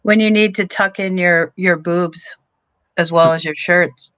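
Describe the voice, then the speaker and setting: A woman's voice: fairly low pitch, steady tone, fairly bright tone, dry recording. A woman who is a comedian and podcast co-host, chatting casually and starting with hesitant "um"s. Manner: hesitant, fills pauses with "um". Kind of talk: casual chat.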